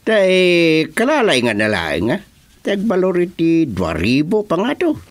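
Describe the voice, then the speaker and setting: A man's voice: a little high, huge pitch swings, a little slow, exaggerated voice. A man acting as an older, blustering village chairman who stammers and repeats his words in a radio comedy drama. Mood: hesitant, bargaining.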